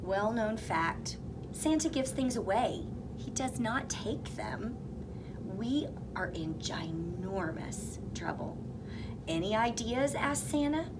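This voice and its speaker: A woman reads a picture book aloud with lively expression, slipping into hushed whispers at tense moments. Her voice sits at an ordinary middle pitch. Clear background noise hangs under the whole recording. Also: takes it slowly. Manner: expressive, dropping to whispers for suspense. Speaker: reader. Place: elementary school.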